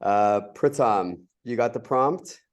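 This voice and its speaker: A man's voice: medium pitch, takes it slowly. A man hosting a video call meeting, inviting people to introduce themselves. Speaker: meeting host. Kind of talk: casual video call moderation.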